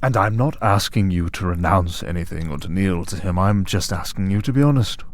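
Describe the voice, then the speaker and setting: A man playing a smug, tempting servant of a dark master in an ASMR audio drama, speaking in a deliberate, taunting, persuasive way. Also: low-pitched, animated. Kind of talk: ASMR audio roleplay acting.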